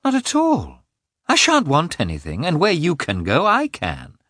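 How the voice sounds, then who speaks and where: Male narrator as young wife, high voice, headstrong, indignant, pleading, emphatic, audiobook drama. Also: big pitch swings, normal speed, fairly bright tone, no echo, studio quality. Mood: defiant.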